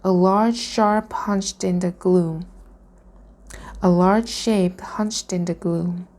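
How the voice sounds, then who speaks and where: A woman reading a children's storybook aloud in a deliberate way. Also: lowish voice, fairly even intonation, darkish tone. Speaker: reader practicing reading aloud.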